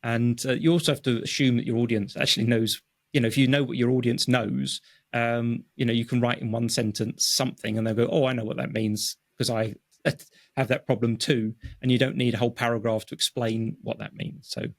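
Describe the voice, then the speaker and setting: A man speaking English as his first language, a guest explaining his writing process in a relaxed interview, with many fillers and restarts. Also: mid-range voice, fairly quick, no room sound, clean studio sound. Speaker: guest, technical writer. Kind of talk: conversational interview. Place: home office.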